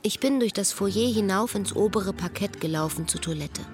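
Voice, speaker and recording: A woman's voice: mid-range voice, normal speed, soft background music, close to an everyday voice. An actress playing a bitter, watchful woman who narrates and talks to a former lover in a sardonic, observant way in a literary radio play. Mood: detached, observant.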